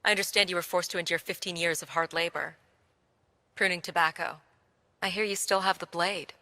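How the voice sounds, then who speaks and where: A woman's voice: lowish voice, steady tone, fairly quick, bright, forceful tone. A woman playing an unflinching middle-aged American journalist in a video game, asking pointed questions in a composed, direct way. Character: probing, unflinching American female television journalist. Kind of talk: interview in a video game cutscene. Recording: reverberant hall.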